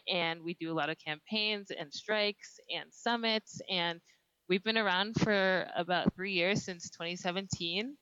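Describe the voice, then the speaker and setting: Bright, crisp young woman on a podcast. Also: medium pitch, no echo, highs cut off. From Northern Virginia. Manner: joking, upbeat, filler-heavy. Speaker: podcast co-host, youth organizer. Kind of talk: casual podcast chat.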